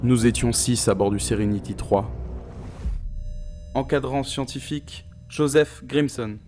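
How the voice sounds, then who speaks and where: A man playing a haunted space-mission scientist in an audio drama, narrating gravely and deliberately with weighted pauses. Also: mid-range voice, darkish tone, faint music behind, natural voice. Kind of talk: scripted dramatic first-person narration.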